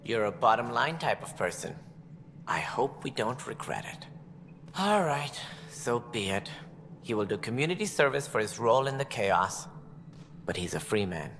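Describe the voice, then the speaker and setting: An actor playing a self-important alien station director in a video game, with formal, politician-like phrasing. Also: male voice, medium pitch, big pitch swings, normal speed, a bit bright. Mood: grave, measured. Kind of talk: video game cutscene acting. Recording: echoey hall with clear background noise.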